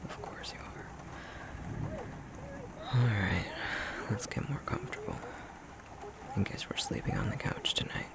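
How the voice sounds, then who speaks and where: Man acting a low, gravelly, murmuring boyfriend. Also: wildly swooping pitch, whispered, exaggerated voice. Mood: sleepy, tender. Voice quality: soft, breathy, low murmur. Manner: unhurried, halting, self-deprecating chuckly storytelling. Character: tender, self-conscious fox-shifter boyfriend, young adult man. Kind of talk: intimate ASMR boyfriend roleplay.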